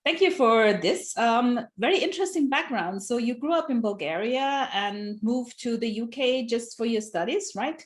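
A woman's voice: fairly high pitch, lively intonation, moderate pace, hardly any pauses. Encouraging woman hosting an interview. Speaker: interview series host. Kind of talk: hosted interview.

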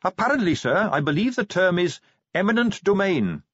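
An earnest, polite young captain of the watch, bright-voiced and eager to explain, as a man puts him on. His voice rises and falls freely, and the read sounds slightly dull. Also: sits high, big pitch swings, moderate pace, breathy edge, no echo, voice pushed up, exaggerated voice.

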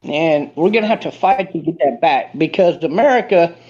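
A man's voice: fairly high pitch, lively intonation, normal speed, darkish tone, dull audio. A man on a remote call ranting comically about politics, rambling and stumbling over his words. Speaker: guest. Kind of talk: comedic political rant.

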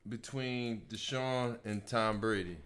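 A man in a casual sports debate, speaking in a measured way with hesitant starts before making his point. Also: medium pitch, steady tone, a bit bright, slight background noise. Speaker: co-host.

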